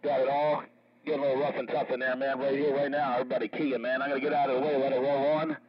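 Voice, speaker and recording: A man's voice: medium pitch, level tone, fast. A man chatting as a CB radio operator, repeating catchphrases and drawing out his call signs. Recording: muffled CB radio transmission with crowded chatter.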